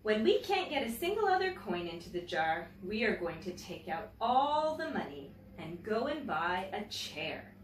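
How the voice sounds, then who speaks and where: Roomy, expressive woman reading to children. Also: medium pitch. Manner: expressive, deliberate phrasing, addressing young listeners. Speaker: teacher reading picture books to children. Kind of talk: read-aloud storytelling for children with chatty asides. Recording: roomy, distant mic, faint background noise.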